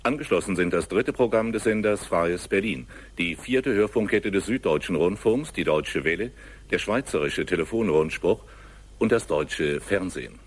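A man reads a formal old-time radio announcement. His voice is very deep and somewhat husky. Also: lively intonation, normal speed.

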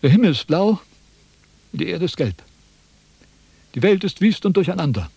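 A pompous old-world philosopher in a radio drama, high in pitch and swinging widely as he declaims. He is quarrelsome and loves to lecture, and the sound is dry and close. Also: sing-song, normal speed, creaky, dark tone, breathy edge, dry recording, exaggerated voice. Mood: solemn incantation. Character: pompous, quarrelsome male Chinese philosopher in a threadbare coat. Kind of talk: German radio play acting.

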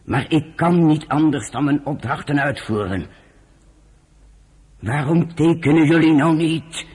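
A man playing a reluctant, persuasive British general in a Dutch radio drama, husky, earnest and coaxing. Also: on the high side, animated, unhurried, darkish tone. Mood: troubled pleading.

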